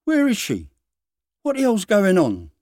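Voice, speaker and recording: A man voicing a quietly menacing male bully in a storybook reading, speaking precisely and deliberately. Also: sits high, huge pitch swings, dry recording, clean studio sound, pitched above the performer's own voice, full character voice. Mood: angry demand.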